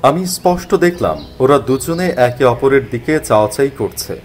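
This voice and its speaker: A man tells a mystery tale in the first person, his mid-pitched voice moving with lively, expressive intonation. It is scripted narration, with faint ambient sound behind him. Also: normal speed, a little hiss or hum.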